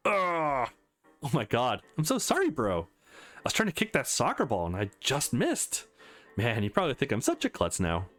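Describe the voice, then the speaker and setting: A man's voice: medium pitch, wildly swooping pitch, normal speed, a bit bright, faint music behind. A man voicing a brash, playful young woman in a visual novel, teasing with mock innocence. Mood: mock apology.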